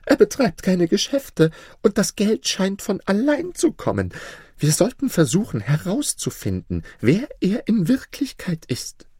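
A man voicing a scheming, jealous vizier in a whining, high-pitched storybook voice. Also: wildly swooping pitch, dark tone, slightly rough, no echo, clean studio sound, heavily acted. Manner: whining, drawn-out.

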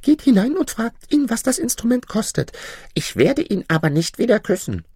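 A man voicing a proud, capricious young princess with a high, imperious voice. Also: very animated, moderate pace, darkish tone, a little hiss or hum. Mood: haughty commanding. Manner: high-pitched, imperious, wailing when sad.

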